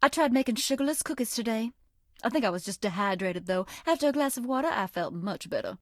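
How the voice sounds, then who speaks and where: Sweet, high-voiced Southern belle played by a woman. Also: lively intonation, fast, dry recording, studio quality, close to an everyday voice. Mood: chatty, teasing. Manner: coy, folksy, old-fashioned endearments. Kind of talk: dating game character voice acting.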